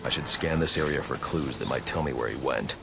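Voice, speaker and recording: A man's voice: a bass voice, a little fast, gravelly, background noise. A man playing a grim masked vigilante detective in a video game, terse, stern and brooding. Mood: grim, determined.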